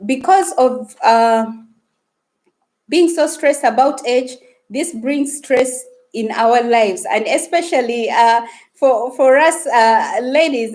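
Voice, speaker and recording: Woman, Luganda speaker, live-stream host, chatty and a touch high-pitched. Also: darkish tone. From Uganda. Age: adult woman, married. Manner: chatty, greets and reads viewer comments aloud. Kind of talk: casual live-stream chat.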